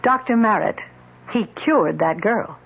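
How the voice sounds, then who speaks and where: An actress playing a trusting, defensive widow who is easily flustered, in an old-time radio drama. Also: female voice, mid-range voice, expressive, measured pace, gravelly. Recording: noisy, tinny vintage radio recording.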